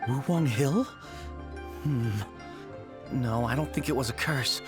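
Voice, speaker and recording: An actor voicing a weary young man in a game, flat and resigned, often sighing. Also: medium pitch, slow pace, over music, lightly acted. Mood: glum. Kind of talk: game voice acting.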